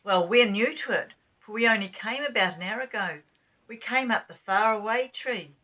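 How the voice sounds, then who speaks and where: A woman's voice: fairly high pitch, normal speed, muffled recording, voice pushed up, lightly acted. A woman voicing an anxious young girl in a children's storybook, worried and given to exclaiming. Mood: alarmed. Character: anxious young girl, easily frightened and puzzled.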